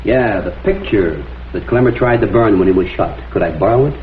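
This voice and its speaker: A man's voice: lowish voice, expressive, fairly quick, a little hiss or hum, lightly acted. Man acting a dogged crime photographer, tinny old soundtrack. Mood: insistent. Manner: dogged, questioning, polite but insistent. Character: persistent, shrewd male crime photographer playing detective. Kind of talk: vintage crime drama acting. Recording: muffled phone-like old soundtrack, music behind.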